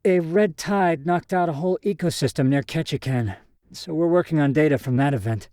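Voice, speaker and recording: A man's voice: a little high, fairly quick, a little mellow, no room sound, clean studio sound, pitched above the performer's own voice, natural voice. A man voicing a witty, teasing woman scientist in short story dialogue. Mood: friendly. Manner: playful, teasing.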